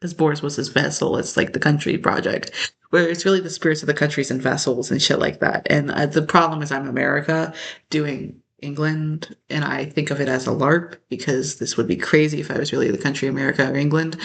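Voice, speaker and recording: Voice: mid-range voice, creaky, fairly bright tone. A vlogger talking straight to the camera in a loose, rambling monologue that jumps from topic to topic and trails off.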